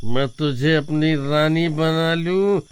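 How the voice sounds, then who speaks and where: A man's voice: on the high side, slow. A man playing a lecherous, drunken village man with a slurred, humming drawl in a horror storybook tale. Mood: drunken leering. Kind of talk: Hindi horror storybook acting.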